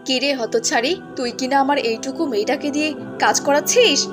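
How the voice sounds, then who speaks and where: Woman acting a cruel, high-voiced scolding stepmother. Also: a little fast, over loud music, close to an everyday voice. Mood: angry scolding. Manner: bossy, snapping, sarcastic.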